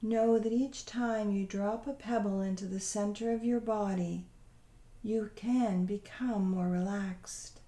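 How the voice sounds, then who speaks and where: Slow, mellow woman guiding a meditation. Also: medium pitch, steady tone, echoey room. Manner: soothing, unhurried, gently instructing. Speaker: guided meditation leader. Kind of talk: guided visualization meditation narration.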